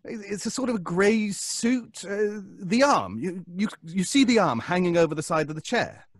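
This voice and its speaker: A man's voice: high-pitched, lively intonation, husky, dry recording, studio quality. An actor playing an anxious, hesitant, fussy man who stammers, in old-time radio suspense style. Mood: uneasy, insistent. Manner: stammering, flustered, halting.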